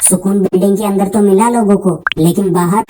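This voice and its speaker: A woman speaks as an inquisitive co-host in a scripted explainer dialogue. Her voice sits at a medium pitch, and there is clear noise with clicks on the recording. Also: fairly even intonation, fairly quick. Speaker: co-host asking the narrator questions. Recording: clearly noisy track with clicks.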